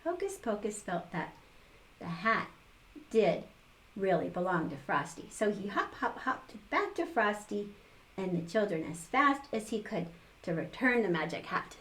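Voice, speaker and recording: A woman's voice: mid-range voice, big pitch swings, unhurried, fairly bright tone. An older woman reading a picture book aloud to children, expressively, with little exclaimed asides. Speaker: storytime reader for children.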